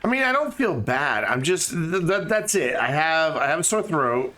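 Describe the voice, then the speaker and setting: A man's voice: fairly high pitch, very animated, moderate pace, bright, forceful tone. A tired man with a slightly scratchy throat, streaming a game and thinking aloud in casual chat with many fillers.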